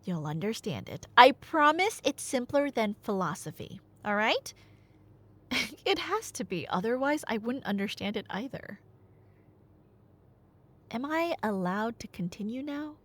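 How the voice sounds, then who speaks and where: Woman as nerdy girlfriend, ASMR roleplay: highish, very lively pitch, chatty myth-telling with teasing asides and dramatic pauses. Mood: playful rambling. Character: nerdy girlfriend rambling excitedly about Greek myths. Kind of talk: conversational ASMR roleplay storytelling.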